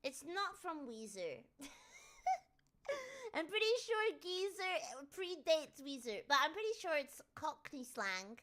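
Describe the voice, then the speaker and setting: A woman with a Northern English accent, chatting casually on a live stream and answering viewers, with sudden excited shouts. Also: sits high, slow pace. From Northern England. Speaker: VTuber streamer.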